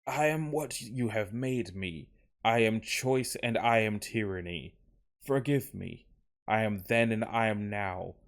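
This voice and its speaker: A man as a slow, low, anguished machine being. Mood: solemn, anguished. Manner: slow, solemn incantation. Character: anguished, grandiose mechanical being confessing on phonograph.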